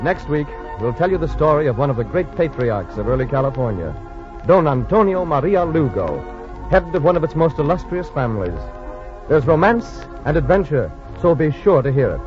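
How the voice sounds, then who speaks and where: A man's voice: a little high, very animated, normal speed, soft background music. A man hosting in a theatrical 1940s radio narration style, on an old muffled broadcast.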